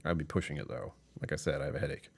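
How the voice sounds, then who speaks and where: Tired, very low-voiced man rambling. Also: racing. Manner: rambling, chuckling, talking to chat. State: tired, voice lower than usual. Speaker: livestream host. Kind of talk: casual live stream chat.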